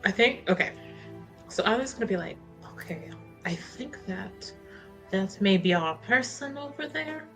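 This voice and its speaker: A woman's voice: mid-range voice, expressive, normal speed, a bit bright, faint music behind. A woman talking casually as a player in a tabletop roleplaying game.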